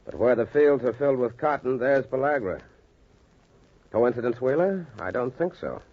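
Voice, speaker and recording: An actor playing an earnest, confident doctor in 1940s radio style, with an emphatic, oratorical manner and a husky voice. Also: male voice, medium pitch, lively intonation, moderate pace, slight background noise, lightly acted. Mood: musing. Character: earnest, confident male doctor addressing skeptical colleagues. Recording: dull, lo-fi 1940s radio recording.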